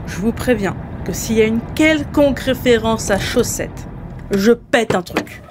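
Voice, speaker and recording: A woman's voice: a little high, measured pace, music in the background, close to an everyday voice. A woman acting as a grumpy, impatient, sarcastic younger woman who complains through a comedy audio drama. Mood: sarcastic, moaning.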